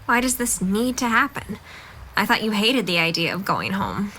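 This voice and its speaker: Female actor for candid young girlfriend in a drama, medium pitch, direct and composed, faint noise behind. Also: big pitch swings, moderate pace, pushed and bright. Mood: questioning, hurt. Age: young adult. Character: candid, level-headed young woman being broken up with. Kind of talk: naturalistic dramatic dialogue.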